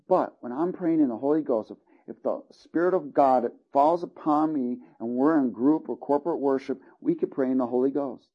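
A man's voice: a little high, highs cut off. A man preaching a sermon, reading scripture aloud and checking in with listeners as he teaches. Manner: earnest, calls out "Amen?" and "Hallelujah" to listeners.

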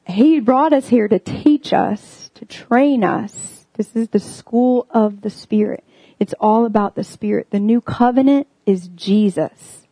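Earnest young woman preaching, warm voice. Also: mid-range voice, no room sound, rough recording. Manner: earnest, full of "like" and "um" fillers. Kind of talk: casual sermon.

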